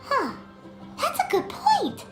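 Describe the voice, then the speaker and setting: A woman voicing a tiny, excitable fairy companion in a video game, with a squeaky childlike voice and chirpy, theatrical manner. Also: takes it slowly, roomy sound, music in the background, exaggerated voice. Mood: pondering, suspicious. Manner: chirpy, theatrical, refers to herself in third person. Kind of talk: video game character dialogue.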